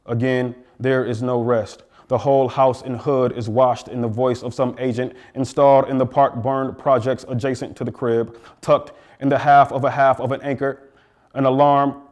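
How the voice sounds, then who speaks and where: An American man from Flint, Michigan, a poet reading his work aloud in a deliberate, incantatory manner. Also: medium pitch, a little mellow. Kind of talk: poetry reading.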